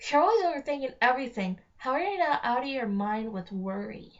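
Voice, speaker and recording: Woman as an exasperated young boy, high-voiced. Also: animated, brisk. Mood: worried, exasperated. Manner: exasperated, questioning. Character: anxious, sarcastic young boy, the logical twin brother. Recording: dull lo-fi home recording.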